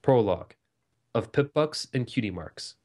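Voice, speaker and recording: A man's voice: medium pitch, lively intonation, a little slow, a little mellow. A man reading a scripted story prologue as a dramatic narrator. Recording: music under the voice.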